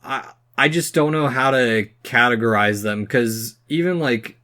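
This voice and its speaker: A man hosting a relaxed, casual chat podcast. His voice sits in the middle range, and he speaks hesitantly, working out his thoughts as he goes. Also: mid-range voice, a little slow. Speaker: podcast host. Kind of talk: casual pop-culture chat.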